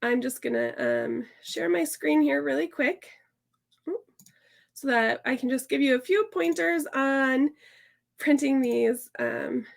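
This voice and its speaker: A chatty woman walks viewers through a sewing project. Her voice is hoarse and stuffy from illness, with a slightly high pitch and a gravelly rasp. She talks upbeat and loose, full of fillers. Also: sing-song, takes it slowly. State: hoarse and stuffy from a sinus infection. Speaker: sewing tutorial host. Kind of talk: casual sew-along tutorial chat.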